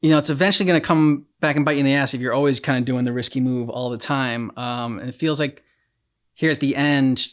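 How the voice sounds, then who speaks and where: Halting man, medium pitch. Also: fairly even intonation. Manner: halting, thinking aloud. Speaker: reaction show host. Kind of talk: casual discussion.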